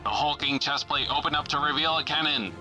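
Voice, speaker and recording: A man plays a pulp radio-serial narrator with a very bright, forceful, slightly high voice. The delivery is theatrical and full of suspense, with music behind it. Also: fairly quick. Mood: rousing. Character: dramatic male announcer of a pulp adventure serial.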